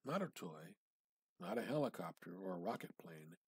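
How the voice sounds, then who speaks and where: A man giving an amateur dramatic reading of literary fiction, theatrical and halting, with pauses and emphatic repetition. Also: low-pitched, big pitch swings, slightly rough, dry recording. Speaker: amateur reader.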